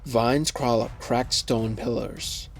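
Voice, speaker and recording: A man narrating a scripted fantasy story in a measured, descriptive way. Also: medium pitch, fairly even intonation, faint music behind.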